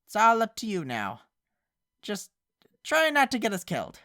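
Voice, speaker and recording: A woman's voice: mid-range voice, huge pitch swings, normal speed, a bit bright, no echo, studio quality. A woman voicing a frank, wry older-sister pony, a former ruler, in a cartoon parody. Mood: confessional.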